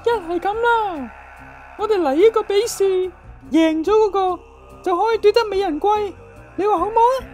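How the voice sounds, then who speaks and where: Casting: female performer for a comically tiny-voiced tough-guy rival, very high, blustering, Hong Kong accent, radio comedy, faint music. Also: normal speed, a little mellow. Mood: challenging. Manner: blustering, complaining. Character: belligerent lovestruck rival gangster, burly man, comically high-voiced. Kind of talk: comic radio drama acting.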